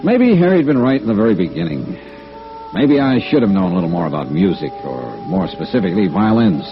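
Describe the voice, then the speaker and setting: An actor playing a wry, tough American insurance investigator in 1950s radio noir, questioning and narrating in clipped lines. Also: male voice, low-pitched, very animated, brisk, music in the background. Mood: musing. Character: hard-nosed, wry freelance insurance investigator. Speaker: lead actor of a detective series. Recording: dull old radio recording.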